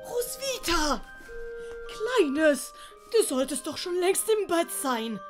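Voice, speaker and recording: A woman's voice: very high voice, big pitch swings, slow pace, over music, full character voice. A woman voicing a kindly, pompous, learned older man in an audio drama. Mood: fatherly, solemn.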